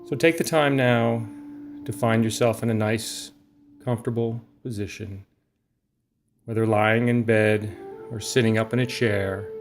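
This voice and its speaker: A man narrating a guided relaxation meditation in a soothing, lulling manner, leaving long pauses between short phrases. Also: lowish voice, steady tone, music in the background.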